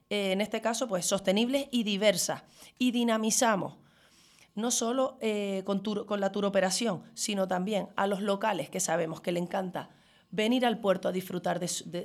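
A woman answering in an interview as a councillor, emphatically listing her points phrase by phrase. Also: medium pitch. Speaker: town councillor.

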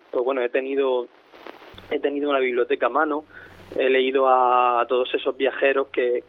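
A man from Murcia with a mixed Murcian and Andalusian Spanish accent, an author calling in to a radio interview, thoughtful and full of restarts. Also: mid-range voice. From Lorca, Murcia, Spain. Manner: thoughtful, many restarts and repeated words. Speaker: author and guest. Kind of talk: radio interview over the phone. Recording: phone line.

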